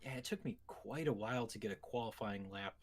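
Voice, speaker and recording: A man's voice: on the low side, fairly quick, soft background music. A man co-hosting a gaming show, talking casually about video games.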